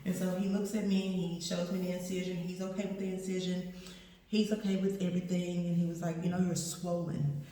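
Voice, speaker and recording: Woman, East Texas accent, post-surgery and sore; blunt, rambling diary talk, mellow tone, far from the mic in a roomy space. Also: fairly low pitch, little pitch movement, a little fast, slight background noise. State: swollen and sore after surgery. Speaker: vlogger recovering from surgery. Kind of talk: casual unedited video diary. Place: indoor room with a door. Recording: echoey room, voice from far off.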